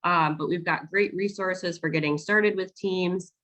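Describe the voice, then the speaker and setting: Low-voiced woman hosting a video call. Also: fairly even intonation, unhurried, a bit bright. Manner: friendly, filler-heavy explaining. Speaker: onboarding staff host. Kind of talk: casual virtual town hall.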